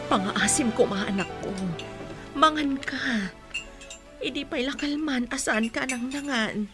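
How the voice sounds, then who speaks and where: A woman's voice: high-pitched, unhurried, loud music behind the voice. A woman playing a worried, soothing mother in a radio drama, earnest and pleading, with an Ilocano accent. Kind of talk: Filipino radio drama acting.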